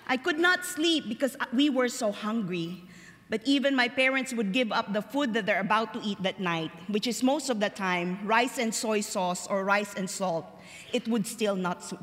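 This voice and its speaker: A young woman speaks from a chapel stage, her voice a little high. She tells her story in a chatty, personal way, laughing easily and slowing down to stress key lines. Her words ring out with a long reverb through a large hall. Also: a bit bright. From Philippines. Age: young adult. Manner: conversational, laughs easily, slows for emphasis. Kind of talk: personal testimony sermon.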